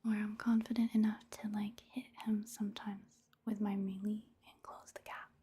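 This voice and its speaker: Breathy, whispering woman in soft ASMR. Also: medium pitch, little pitch movement. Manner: gentle, unhurried, casual. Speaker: video game streamer and ASMR creator. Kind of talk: soft-spoken ASMR game commentary.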